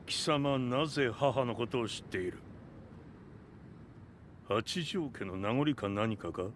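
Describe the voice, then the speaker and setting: A man's voice: mid-range voice, moderate pace, a little hiss or hum, close to an everyday voice. An actor voicing a ruthless male fighter in a game cutscene, terse and scornful. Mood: cold. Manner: clipped, contemptuous.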